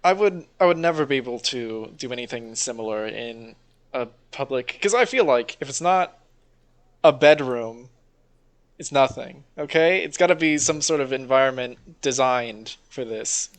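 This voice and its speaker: A man's voice: medium pitch, expressive, moderate pace. Young man bantering with dry, teasing remarks. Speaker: podcast co-host. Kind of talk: casual bantering chat.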